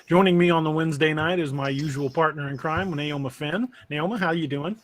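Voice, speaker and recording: A man's voice: on the high side, fairly quick. A man casually hosting a livestream podcast in a folksy, chummy way. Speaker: podcast host.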